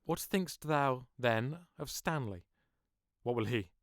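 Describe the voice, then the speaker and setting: An actor playing a commanding, conspiring nobleman in Shakespearean audio drama, with deliberate, persuasive formal verse. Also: medium pitch, lively intonation, dry recording, studio quality. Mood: conspiratorial, commanding.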